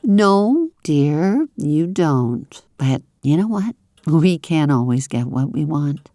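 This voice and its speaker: Woman acting low, slow, resolute pony mother. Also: very animated, dark tone, no room sound, studio quality, exaggerated voice. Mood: tender. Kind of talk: audio drama acting.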